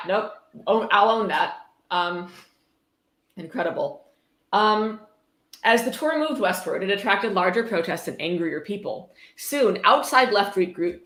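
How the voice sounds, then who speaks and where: A woman's voice: mid-range voice, fairly bright tone. Female livestream host, mid-range voice, casual and sardonic commentary, echoey room sound. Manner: wry, sardonic, casually sweary. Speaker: host of a live-streamed reading. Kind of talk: casual commentary while reading aloud.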